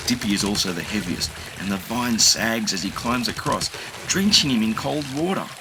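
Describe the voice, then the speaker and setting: A man narrating a children's audiobook in a gentle, expressive storytelling manner. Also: on the low side, normal speed, background noise. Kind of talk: children's audiobook read-aloud.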